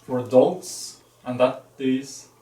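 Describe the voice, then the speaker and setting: Echoey man reading verse haltingly. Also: mid-range voice, warm tone, a little hiss or hum. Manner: halting, checking unfamiliar words. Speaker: amateur reader. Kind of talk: reading old verse aloud. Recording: echoey, distant microphone.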